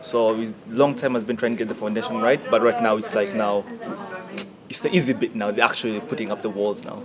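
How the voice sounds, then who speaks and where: A man's voice: on the low side, fairly even intonation, quick pace. A man from Uganda, an animator, answering interview questions casually with hesitant fillers and restarts. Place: busy studio workspace. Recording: crowd chatter behind.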